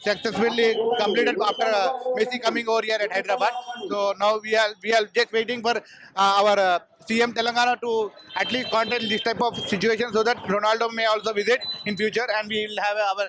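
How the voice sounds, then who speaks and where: A man's voice: high voice, little pitch movement, quick pace. A man attending with his son, answering hesitantly in English and Telugu. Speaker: parent at event. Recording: busy crowd behind. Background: crowd noise.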